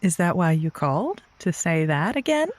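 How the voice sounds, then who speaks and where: A woman's voice: on the low side, sing-song, normal speed, no room sound. A woman playing a chatty radio call-in host in an audio comedy drama, a coaxing, exasperated peacemaker with an emphatic way of talking. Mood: wry, strained.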